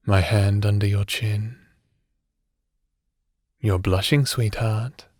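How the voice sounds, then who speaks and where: A man playing a doting, teasing evil necromancer husband in an intimate ASMR roleplay, speaking softly with flirtatious endearments. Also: fairly low pitch, fairly even intonation, slow pace, dry recording, clean studio sound. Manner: soft, soothing, flirtatious, affectionate endearments.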